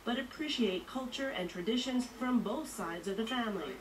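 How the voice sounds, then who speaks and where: A woman reporter delivering scripted news narration in a measured, even way. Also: fairly high pitch. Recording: distant voice with noticeable noise.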